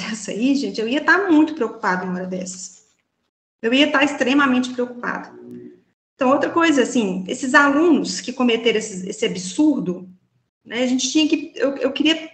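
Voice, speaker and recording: A high-voiced woman with a Minas Gerais Brazilian accent argues her views in an indignant tone, leaning on rhetorical questions and fillers. She speaks through a laptop webcam mic on a video call, and the small room around her sounds echoey. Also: fairly bright tone. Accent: Brazilian Portuguese, Minas Gerais. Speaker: panelist. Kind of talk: opinionated commentary on a video call. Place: small indoor room with a plain wall.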